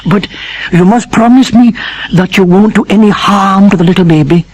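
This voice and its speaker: A man voicing a loyal old male dog in a storybook, gentle and earnest in manner. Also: sits high, normal speed, slight background noise, voice pushed up, natural voice, rough recording.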